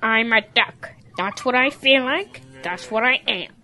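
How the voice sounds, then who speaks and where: A stubborn young farm calf played by a woman, high and bright in voice. The delivery is childlike and insistent, in the manner of a children's audio drama. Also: a little slow, soft background music.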